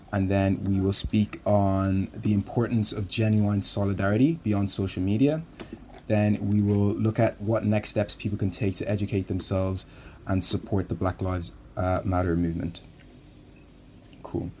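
Young man, podcast co-host, very low voice, measured and hesitant, faint hiss. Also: little pitch movement, mellow. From Ireland. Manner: measured, with frequent "um" fillers. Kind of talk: podcast introduction and moderation.

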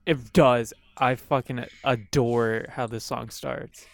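A man's voice: mid-range voice, steady tone, slow, creaky. A man co-hosting a casual album review podcast, speaking bluntly, swearing casually and laughing easily. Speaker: podcast co-host.

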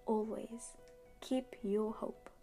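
A woman reading a scripted devotional message in an earnest, measured, encouraging way. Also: a little high, steady tone, dark tone, faint music behind. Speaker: devotional reader.

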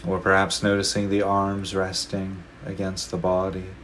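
A man leading a guided meditation, speaking slowly and gently with long pauses between phrases. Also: low-pitched, completely flat delivery, slight background noise. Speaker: meditation teacher.

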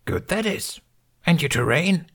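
A man voicing a tiny, ancient, serene alien master who speaks in inverted, riddling sentences with a high, half-whispered voice. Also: big pitch swings, measured pace, breathy edge, exaggerated voice. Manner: inverted word order, gentle and riddling. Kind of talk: audiobook character voice.

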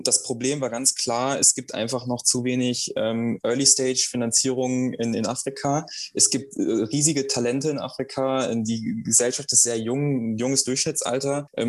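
A young man, a startup founder, answering interview questions in a halting way with many fillers and restarts. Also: mid-range voice, fairly even intonation. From Germany.

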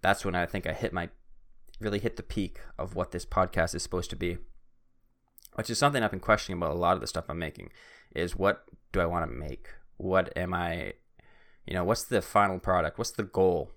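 A man's voice: deep voice, brisk, fairly bright tone. A young man hosting his own podcast, talking in a rambling, self-mocking way and often wandering off topic. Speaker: podcast host. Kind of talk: casual solo podcast monologue. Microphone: podcast microphone.

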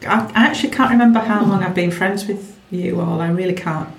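A woman's voice: on the low side, brisk, creaky. A middle-aged woman with a strong Yorkshire accent, a retired careers advisor chatting with friends, jokey and full of restarts. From England. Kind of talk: casual group chat. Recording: echoey room, slightly distant mic, faint hiss.

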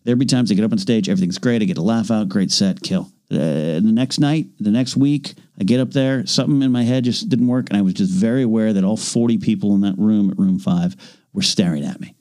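Low-voiced man co-hosting a chat podcast. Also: a little fast, dark tone. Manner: rambling, self-deprecating, many restarts. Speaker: podcast co-host. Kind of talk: casual chat.

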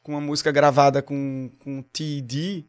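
A young man from Pernambuco with a Pernambuco Portuguese accent, a singer chatting as a guest on a podcast in an animated, storytelling way. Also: a little high, moderate pace. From Pernambuco, Brazil. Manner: animated, storytelling, quotes people. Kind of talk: casual podcast chat.

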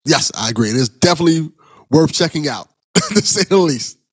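A male podcast host with a fairly high voice and very animated intonation. He chats casually and teases with affection, in a close, dry recording. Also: a little fast, breathy edge, no echo. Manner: bantering, affectionate with guest. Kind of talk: casual interview chat.

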